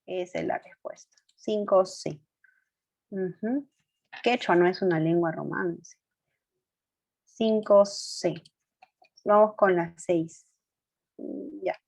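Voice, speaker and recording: A woman's voice: lowish voice, slow pace, creaky, pauses often, a little mellow. Woman teacher quizzing her class. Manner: questioning and coaxing students, fillers like "ujú"; corrects herself aloud, apologetic. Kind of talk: online class going over a quiz.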